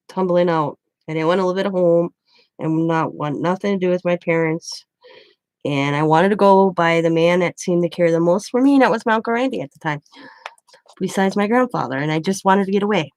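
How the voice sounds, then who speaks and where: A woman's voice: on the low side, darkish tone, no echo. A woman from the Midwest, chatting casually and rambling while she crafts, laughing often. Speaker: craft vlogger.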